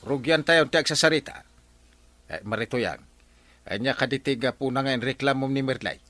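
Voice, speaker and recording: A man's voice: medium pitch, normal speed, pushed and bright, no echo, faint music behind, close to an everyday voice. A man playing a comic, flustered village captain in a comedy drama, with a Filipino accent and a stammering, exclamatory delivery. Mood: officious. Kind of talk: Ilocano comedy radio-drama acting.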